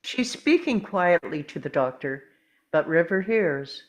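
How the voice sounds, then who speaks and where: A woman's voice: fairly low pitch, big pitch swings, unhurried. A woman narrating screen directions from a script in the present tense at a table read. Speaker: stage directions reader.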